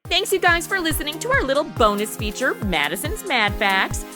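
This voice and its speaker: A woman's voice: sits high, very animated, a little fast, music in the background. An American woman hosting a podcast in a chatty interview style, with a sassy, slangy, playful way of talking. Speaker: podcast host. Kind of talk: chatty semi-scripted interview.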